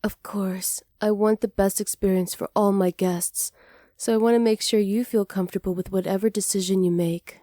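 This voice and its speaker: A sly, self-assured witch played by a woman speaks softly in a warm, dark-toned voice for an ASMR roleplay. She is flirtatious and hospitable, teasing and flattering as she goes. The sound is very dry, with no room around it. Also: medium pitch, normal speed, no echo, close to an everyday voice. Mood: flattering, reassuring. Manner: soft-spoken, teasing, flattering, partly whispered. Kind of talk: ASMR roleplay, slow scripted acting.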